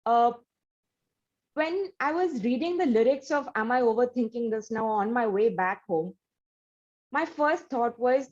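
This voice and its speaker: A woman's voice: on the high side, lively intonation, unhurried, a little mellow, lo-fi sound. A woman hosting an interview, effusive and given to restarts and fillers. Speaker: interview host.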